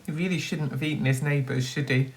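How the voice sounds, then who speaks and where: A woman's voice: deep voice, fairly even intonation, fairly quick. A woman teacher reading a children's story expressively, with dramatic emphasis and questions put to her young listeners. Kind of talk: animated children's storytelling with asides.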